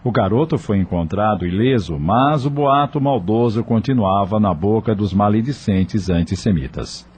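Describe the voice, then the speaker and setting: A man with a husky voice reading scripted narration for a radio drama. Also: fairly low pitch, moderate pace, hardly any pauses, darkish tone.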